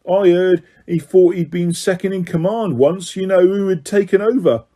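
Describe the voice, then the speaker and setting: A man voicing an excitable young male bus conductor, gossipy and dramatic in a storybook reading. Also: high voice, moderate pace, voice pushed up, natural voice.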